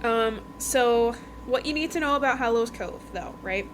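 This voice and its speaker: A woman with a fairly high voice hosts a casual book review podcast. She talks informally and emphatically, repeating phrases and checking that listeners follow. There is noticeable noise behind her. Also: moderate pace, rough recording. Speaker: podcast host reviewing books.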